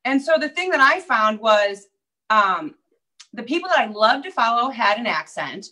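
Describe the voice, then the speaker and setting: A woman's voice: fairly high pitch, sing-song, moderate pace, bright, forceful tone, rough recording. A woman with a Minnesota accent, a real estate agent chatting upbeat as a guest over a video call. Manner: chatty, upbeat, many fillers. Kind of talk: casual interview chat.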